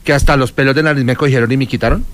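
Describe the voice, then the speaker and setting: A man co-hosting a live stream, animated and playful. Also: medium pitch, very fast. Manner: animated, playful, repeats names. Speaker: co-host. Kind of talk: casual live stream chat.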